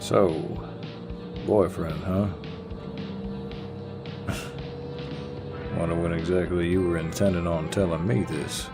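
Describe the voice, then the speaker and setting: A man acting out an easygoing Southern country boyfriend for an intimate audio roleplay. His voice is very low and he takes his time, drawling gallant, teasing, folksy lines straight to the listener. Music plays clearly behind him. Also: fairly even intonation. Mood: tender, teasing. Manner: slow, gentlemanly drawl with folksy phrasing.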